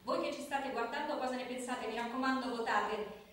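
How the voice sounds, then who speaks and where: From off in an echoey room, a brisk TV newswoman talks in a high voice. She is businesslike, controlling and firmly polite, in radio drama style. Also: fairly bright tone. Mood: formal, presenting. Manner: businesslike, polite but firm. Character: brisk, controlling female television news journalist. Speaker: actor in a radio play. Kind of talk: naturalistic radio drama, TV news presenting. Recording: echoey room, distant mic, faint noise.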